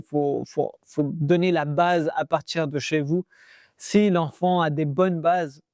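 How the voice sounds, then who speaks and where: A young man with a slightly raised pitch talks earnestly to the camera, giving expat advice in a loose, unscripted way full of fillers and restarts. The sound is dry and close, with almost no room echo. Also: creaky, darkish tone. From Pakistani heritage, living in Pakistan. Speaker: vlogger sharing expat advice. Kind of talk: casual talk to camera.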